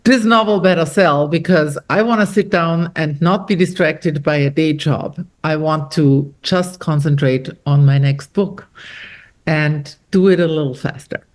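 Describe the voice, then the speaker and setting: Animated Austrian-born woman author. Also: low-pitched, measured pace. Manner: animated storyteller, quotes others with lively shifts. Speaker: author guest. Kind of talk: conversational interview.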